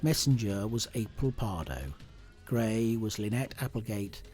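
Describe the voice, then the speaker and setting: A man's voice: lowish voice, unhurried, darkish tone, faint music behind. A man announcing the credits of an audio drama as scripted narration.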